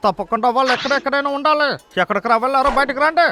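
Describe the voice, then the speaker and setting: A man's voice: very high voice, racing, noisy background. A man voicing a hot-tempered, greedy male hunter in a dubbed cartoon, blustering and grumbling. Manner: shouty, blustering, grumbling complaints. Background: music.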